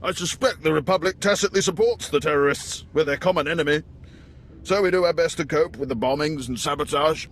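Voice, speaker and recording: An actor playing an older, seasoned station chief in a video game, unhurried and avuncular. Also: male voice, a little high, a bit bright, slight background noise. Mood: grave, measured. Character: seasoned older male Imperial intelligence station chief, genial, measured. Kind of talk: video game dialogue acting.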